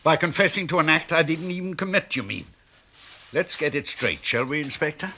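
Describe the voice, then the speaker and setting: Bright-voiced, indignant, sarcastic husband. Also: male voice, on the high side, expressive, brisk, a little hiss or hum. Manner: sarcastic, defensive. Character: indignant, sarcastic husband suspected of murdering his wife. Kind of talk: 1970s radio play acting.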